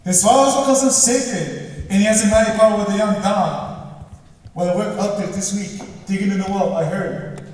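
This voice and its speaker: A stern, protective brother, acted by a young man on stage speaks in a high voice. He is grave and foreboding, declaiming his warnings. The sound is distant, with heavy hall reverb. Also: normal speed, darkish tone, natural voice. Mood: grave urging. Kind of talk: amateur stage play acting. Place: theater stage, indoors. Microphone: distant camera. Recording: echoey theater hall from far off.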